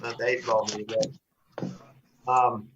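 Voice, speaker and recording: Male board chair, bright mid-range voice, informal, folksy, a bit hesitant. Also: medium pitch, little pitch movement, slow pace, dull audio, a little hiss or hum. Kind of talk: informal public meeting talk.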